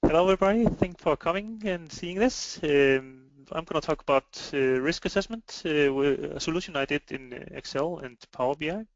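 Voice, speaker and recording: Male webinar presenter, Icelandic accent, higher-than-average pitch, halting delivery, dry and slightly muffled online sound. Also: dry recording. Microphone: online web meeting microphone.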